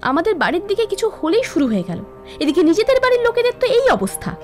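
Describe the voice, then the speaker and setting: A spiteful young village sister-in-law from a moral-story cartoon, with a very high, swooping voice. She schemes and nags in a shrill, dramatic way, with music behind her. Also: wildly swooping pitch, fast, darkish tone, heavily acted. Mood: demanding, nagging. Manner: shrill, nagging, dramatic complaining.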